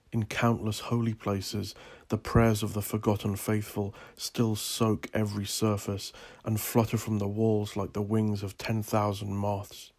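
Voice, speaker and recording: Low, gravelly man narrating darkly. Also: darkish tone. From Northern Ireland. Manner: brooding, solemn, theatrical, deliberate. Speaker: author narrating own story. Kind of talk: scripted dramatic narration.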